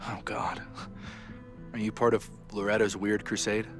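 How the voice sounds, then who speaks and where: An actor playing a harried young student society president, wry, theatrical and exasperated, in a video game. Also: male voice, lowish voice, level tone, a little slow. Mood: weary, warning. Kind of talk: naturalistic video game acting. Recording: music behind the voice.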